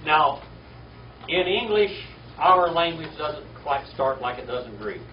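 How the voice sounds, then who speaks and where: A man's voice: a little high, expressive, measured pace. An older man teaching a Bible class from a podium in a wood-panelled classroom, drilling Greek words aloud and often checking in with "all right?". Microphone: podium mic from far camera. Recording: roomy, distant, dull, noisy classroom video.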